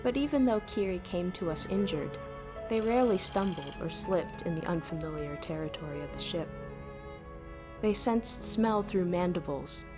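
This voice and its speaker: A woman's voice: lowish voice, natural voice. A woman playing a wry, motherly starship AI in an audio drama, speaking with precise, formal diction over music. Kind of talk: scripted audio drama narration. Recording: music behind.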